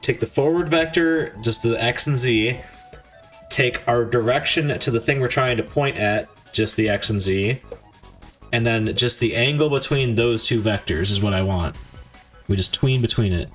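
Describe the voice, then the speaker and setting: A man chatting casually on a live stream while he codes, thinking aloud in a halting, informal, self-deprecating way. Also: medium pitch, a bit bright, soft background music. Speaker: game developer streamer.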